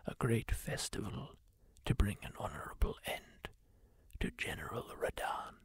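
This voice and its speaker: A man's voice: fairly low pitch, expressive, full character voice. A man whispering as a kindly masked healer-warrior. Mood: hushed. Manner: slow soft whisper, hushed and breathy. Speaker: ASMR roleplayer. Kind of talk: whispered ASMR roleplay monologue.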